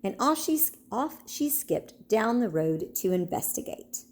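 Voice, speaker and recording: A woman narrating a children's storybook in an expressive, storytelling manner. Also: a little high, a little slow.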